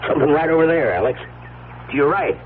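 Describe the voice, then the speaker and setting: A man's voice: a little high, lively intonation, fast talker, lightly acted. An actor playing a stubborn, skeptical small-town policeman with a folksy rustic drawl in a 1970s radio drama. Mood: dry, skeptical. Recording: tinny, faintly noisy old radio broadcast.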